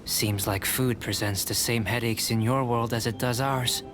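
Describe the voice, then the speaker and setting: A man voicing a polite, composed young male alchemist who speaks in a measured, gently teasing way, heard over game music. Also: medium pitch, fairly even intonation, close to an everyday voice. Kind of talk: game voice acting. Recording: studio game audio with music behind. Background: clear music.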